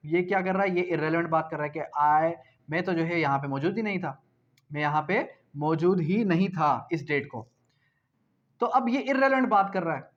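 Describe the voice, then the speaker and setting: A man with a Pakistani accent lecturing as a law teacher, didactic, repeating key phrases, asking check questions and slowing down for English terms. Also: sits high. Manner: switches between Urdu and slowly read English legal phrases. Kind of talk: classroom lecture for exam preparation. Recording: dull, lo-fi lecture recording.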